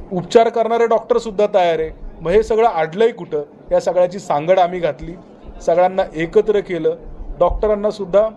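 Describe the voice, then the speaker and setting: A man's voice: high voice, dark tone. A man with a Maharashtrian Marathi accent, a campaign leader, makes an earnest, rhetorical statement phrase by phrase. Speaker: patients' rights campaign leader. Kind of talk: spoken appeal in a recorded news statement. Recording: faint background noise.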